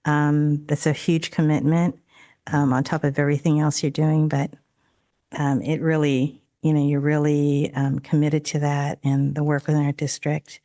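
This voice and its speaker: A woman raised in Daly City whose first language was not English, speaking as a school board trustee at a meeting, with a halting delivery full of fillers and restarts. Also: low-pitched, level tone, mellow. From Daly City, California. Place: district office board room.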